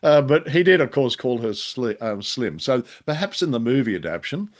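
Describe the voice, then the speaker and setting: A man co-hosting a casual podcast discussion, speaking in a halting, hesitant way with wry, self-deprecating humour. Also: mid-range voice, big pitch swings. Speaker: podcast co-host and freelance writer. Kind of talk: casual conversational discussion of old radio drama.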